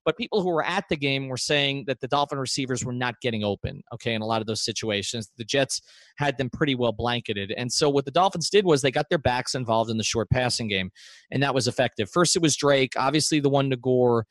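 A man's voice: mid-range voice, fast, a bit bright, no room sound. The man you'd hear on a sports podcast recorded in a deadened room, making his case emphatically and repeating himself to drive points home. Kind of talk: conversational sports analysis.

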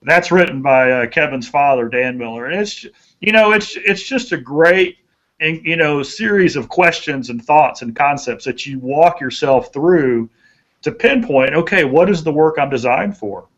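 A man's voice: fairly high pitch, moderate pace, a bit bright, lo-fi sound. A man giving conversational motivational advice as a podcast co-host, in a folksy, earnest way, repeating phrases for emphasis.

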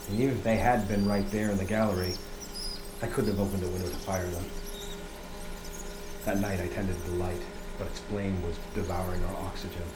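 An actor playing a haunted lighthouse keeper who tells a horror tale in a brooding, halting, dramatic radio play monologue. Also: male voice, lowish voice, close to an everyday voice. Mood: grim, weary. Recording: echoey, noisy location recording in lighthouse.

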